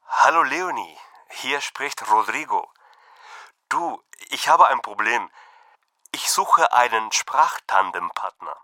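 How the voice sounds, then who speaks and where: A friendly young student whose first language is Portuguese, as a man acts him out, spells things out slowly and carefully for a textbook listening exercise. His bright voice swings lively up and down. It is a close, dry studio recording. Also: mid-range voice, dry recording, full character voice. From Brazil. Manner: slow, carefully enunciated. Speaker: student calling a friend for help. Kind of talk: scripted textbook dialogue.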